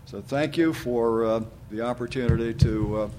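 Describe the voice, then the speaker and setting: Man giving a measured academic talk. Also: medium pitch, background noise. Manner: measured, halting with fillers. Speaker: panelist and moderator. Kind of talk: academic presentation, panel moderation.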